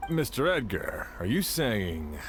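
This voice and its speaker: Deep, weary sheriff. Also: male voice, sing-song, takes it slowly, over music, exaggerated voice. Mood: solemn, lenient. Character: world-weary, fair-minded sheriff, gruffly put-upon.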